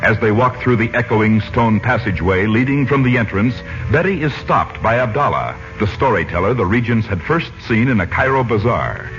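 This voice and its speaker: A man's voice: on the low side, expressive, moderate pace, hardly any pauses, fairly bright tone. A man announcing and narrating a 1940s radio serial from a script in a formal, theatrical, emphatic style. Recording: muffled vintage 1940s radio recording. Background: music.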